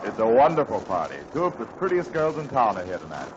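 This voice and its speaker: A suave, courtly bandleader in a 1940s radio comedy. His voice sits low and moves with lively swings. The hissy broadcast sounds muffled and a little off-mic. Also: quick pace, a loud crowd. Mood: gallant. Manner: courtly, gallant. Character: suave adult male bandleader, gallant and charming.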